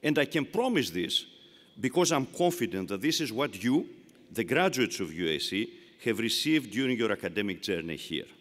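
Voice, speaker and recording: A man's voice: on the low side, very animated, moderate pace, a bit bright. An older man with a Greek accent giving an emphatic, rhetorical commencement speech. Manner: rhetorical, emphatic, repeats phrases for effect. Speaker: commencement speaker. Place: large arena. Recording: long arena reverb.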